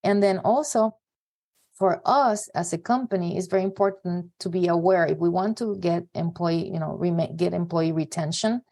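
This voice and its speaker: A woman's voice: fairly low pitch, moderate pace, warm tone. A woman hosting a podcast interview, speaking conversationally in long, meandering sentences. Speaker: podcast host.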